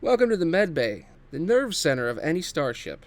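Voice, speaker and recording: A man's voice: on the high side, sing-song, a little fast, voice pushed up. A man voicing a chirpy young woman crew member presenting a video log in a sci-fi table read.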